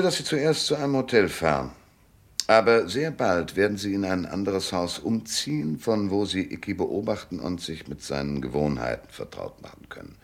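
Hardboiled private eye, super low husky voice, dry n world-weary, big swings in pitch, radio play. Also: male voice, full character voice. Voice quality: very deep, gravelly, husky. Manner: laconic, dry, knowing. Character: world-weary hardboiled private detective, cynical but tender. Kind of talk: German radio drama acting.

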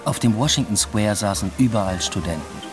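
A man's voice: lowish voice, expressive, fairly quick, over music, lightly acted. An actor playing a searching, lovelorn young man adrift abroad, narrating a radio drama in a reflective, understated way. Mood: wistful.

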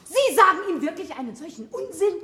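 A woman playing a no-nonsense, scolding mother with a sharp, sarcastic manner in a classic radio play. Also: very high-pitched, very animated, fairly quick, exaggerated voice. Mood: indignant. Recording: roomy sound with faint noise.

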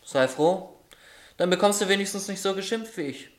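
A man's voice: sits high, moderate pace, close to an everyday voice. An actor playing a teasing, affectionate young man, an easygoing brother with a chatty, wry manner in a radio play. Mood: amused, chatty. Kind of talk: German radio play acting.

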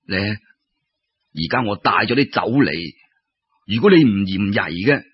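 A man voicing a kindly, sentimental old fisherman who speaks in slow, sighing, drawn-out phrases. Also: fairly low pitch, expressive, breathy edge, no echo, voice pushed down, exaggerated voice. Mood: earnest, devoted. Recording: dull lo-fi radio-style recording.

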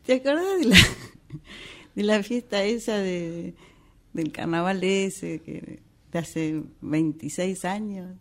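An actress in a radio theatre exercise playing a calm, evasive wife, unhurried, with an Argentine accent. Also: female voice, lowish voice. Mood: quietly pointed. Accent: Argentine, Rioplatense. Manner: unhurried, casual, pausing. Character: calm, evasive wife quietly holding her own secret. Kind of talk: radio theatre workshop acting.

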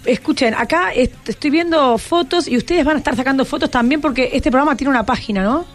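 A woman's voice: sits high, fast talker, soft background music. A woman with an Argentine accent, interviewing casually as a radio host in an encouraging, inquisitive way. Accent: Argentine, Rioplatense.

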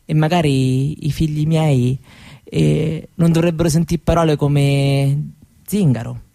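A woman playing a candid, proud seventeen-year-old Roma girl in a radio monologue, with a Roman Italian accent and halting colloquial talk that often seeks agreement. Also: sits low, fairly even intonation, creaky, mellow, full character voice. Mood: candid, determined. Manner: colloquial, halting, seeking agreement with little tag questions. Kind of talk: conversational theatrical monologue for radio.

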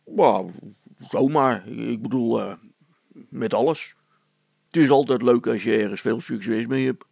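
A storybook village constable, acted by a man, blustering and scheming. The voice is heavily creaky and rough, recorded very dry, with hesitant, conspiratorial phrasing. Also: mid-range voice, a little slow, darkish tone, dry recording, exaggerated voice. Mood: flustered, servile. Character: scheming village constable, blustering, flustered before his superior.

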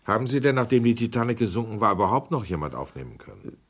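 A persistent examiner at an official inquiry in a radio play. The voice is deep and somewhat raspy. He questions formally and pointedly, pressing for each answer. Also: very animated, fast. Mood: persistent, polite. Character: probing, persistent male inquiry committee examiner. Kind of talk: German radio documentary drama acting.

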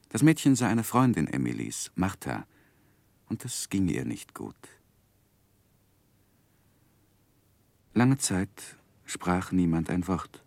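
Wistful storyteller, low pitch, measured reflective delivery, grave, dry studio sound, 1960s radio drama narration. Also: male voice, vocal fry, darkish tone, no echo, exaggerated voice. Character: grown David Copperfield recalling his life, grave and wistful.

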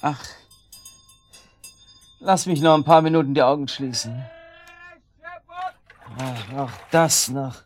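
An actor playing an evasive, irritable and weary husband in a radio drama. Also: male voice, mid-range voice, slow pace, pauses often, soft background music, close to an everyday voice. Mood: irritated. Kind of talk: German radio drama acting.

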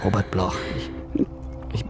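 Ein Schauspieler spielt in einem Krimi-Hörspiel einen nervösen, zugleich beruhigenden Banker. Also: male voice, measured pace, music in the background, natural voice. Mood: uneasy. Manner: hesitant, reassuring. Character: nervous but reassuring banker stranded in the dark. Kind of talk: German radio crime drama acting.

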